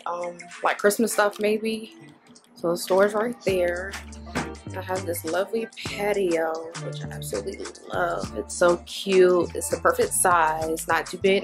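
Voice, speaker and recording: A young woman vlogger giving a chatty, gushing walk-through of her empty new apartment, filming on a handheld phone. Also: on the low side. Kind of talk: casual apartment tour. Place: empty apartment indoors, rooms and patio. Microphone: handheld phone camera.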